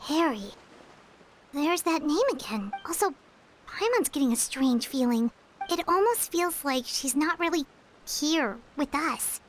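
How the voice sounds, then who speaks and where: A woman voicing a small, timid, excitable fairy girl companion in a video game, with a squeaky childlike voice and chatty, exclamatory manner. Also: measured pace, a little hiss or hum, low-quality recording. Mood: puzzled, concerned. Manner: chatty, exclamatory, refers to herself in third person.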